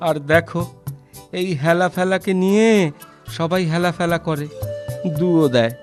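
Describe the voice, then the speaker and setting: An actor playing a homeless blind young man in a children's cartoon, plaintive, humble and halting. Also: high voice, steady tone, faint music behind. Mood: friendly.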